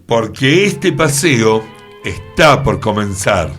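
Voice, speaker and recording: A man's voice: lowish voice, sing-song, takes it slowly, music in the background, voice pushed down. A man with a Buenos Aires accent playing a courteous, mysterious adventurer telling his tale in a whimsical radio play. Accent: Argentine, Buenos Aires. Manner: theatrical, storytelling. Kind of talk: radio theatre dialogue.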